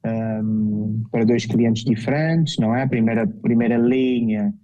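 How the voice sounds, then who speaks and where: A man's voice: mid-range voice, fairly even intonation, a little slow, warm tone, muffled recording, faint music behind. A man from the Azores with an Azorean Portuguese accent, a guest architect chatting casually in an interview, with frequent fillers and restarts. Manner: hesitant, with many fillers and restarts.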